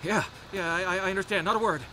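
An actor playing a meek American security guard held hostage in a video game, stammering and pleading nervously. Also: male voice, high voice, fast, noisy background, lightly acted. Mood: shaken, compliant. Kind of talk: video game cutscene acting.

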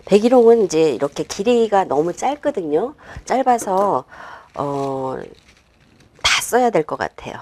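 한 중년 여성 꽃꽂이 강사가 실내 스튜디오에서 작업을 하며 설명하고, 말끝에서 자주 망설이며 혼잣말처럼 속삭이듯 말해요. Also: female voice, mid-range voice, sing-song, a little mellow, slight background noise. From Korea. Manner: unhurried, talks while working, many fillers and half-whispered asides. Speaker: flower arrangement instructor. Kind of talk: demonstration lesson while working. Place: indoor studio with a flower table.

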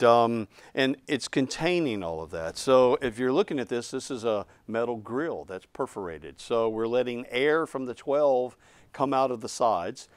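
A man's voice: mid-range voice, smooth, no room sound. A man in his fifties giving an unscripted technical explanation of a product as its designer. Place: indoor studio set, seated.

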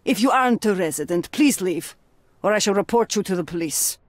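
A woman playing an elderly landlady in a video game, sharp-tongued and nosy, given to sarcasm and endearments. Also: medium pitch, animated, a little fast, a little hiss or hum, close to an everyday voice. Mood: stern, sarcastic. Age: older. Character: sharp-tongued, nosy old female landlady with soft spot. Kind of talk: dramatic video game cutscene acting.

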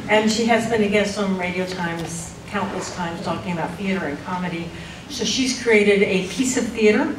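A middle-aged woman radio host introducing a stage performance in a relaxed, self-amused way. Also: mid-range voice. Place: event studio stage with audience. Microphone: stand mic, recorded from far camera. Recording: echoey room, distant mic, noise.

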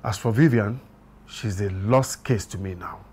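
An actor playing a regretful, dignified older chief in a film drama, solemn and measured. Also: male voice, lowish voice, darkish tone, a little hiss or hum, close to an everyday voice. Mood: apologetic, resigned.

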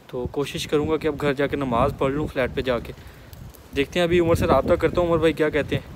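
A man's voice: medium pitch, noisy background. Chatty man vlogging at arm's length. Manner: chatty, walking and talking to a handheld camera. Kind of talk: casual vlog chat. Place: city streets outdoors, then a flat indoors. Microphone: phone camera at arm's length.

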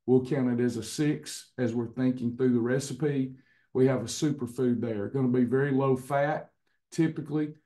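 Man in his fifties, slightly hoarse, clears his throat, chatty class host, webcam audio. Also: mid-range voice, steady tone, moderate pace, warm tone. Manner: chatty, with frequent restarts and fillers. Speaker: wellness coach hosting a weekly recipe class. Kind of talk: casual online class talk. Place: indoors in front of a printed backdrop. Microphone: webcam on a video call.

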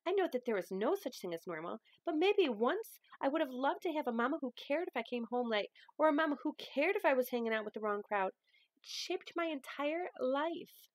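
Woman acting a hurt, high-pitched young woman. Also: expressive, brisk, dry recording. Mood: hurt, angry. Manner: emotional, pleading. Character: hurt, defensive thirty-one-year-old woman, pleading with grandma. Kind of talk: dramatic novel reading.

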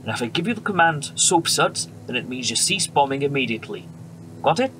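An actor playing a friendly, assured wartime bomber pilot with a British accent, brisk and reassuring, in a radio drama. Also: male voice, medium pitch, sing-song, close to an everyday voice. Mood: brisk, businesslike. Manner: brisk, reassuring, polite officer. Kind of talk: period radio drama acting. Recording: noisy aircraft engine background.

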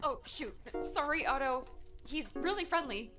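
Friendly young female character performed by a man, high, animated, polite, audiobook. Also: a little slow, faint music behind, exaggerated voice. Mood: apologetic. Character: kind, friendly young woman.

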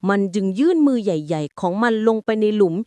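A woman reading a bedtime story aloud in a slow, soothing way. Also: medium pitch, expressive, dark tone, dry recording.

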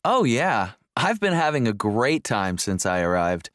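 Lively, enthusiastic male traveller, acted by a man. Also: mid-range voice, a little slow, no echo, studio quality. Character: enthusiastic male visitor enjoying his trip. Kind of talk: scripted small talk for an English listening exercise.

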